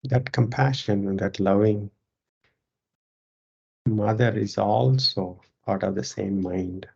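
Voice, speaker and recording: A yoga teacher leads a guided meditation very slowly, with a creaky, fry-laden voice. The delivery is calm and measured, in short phrases set apart by pauses. The recording is somewhat dull and muffled. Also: deep voice.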